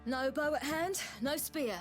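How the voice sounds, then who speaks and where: A daring young swordswoman from a video game, with a fairly high voice. She is wry, self-assured and sparing with words, teasing as she talks. Light music plays behind her. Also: measured pace. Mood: guarded, wry. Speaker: voice actor in a game. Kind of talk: dramatic game acting.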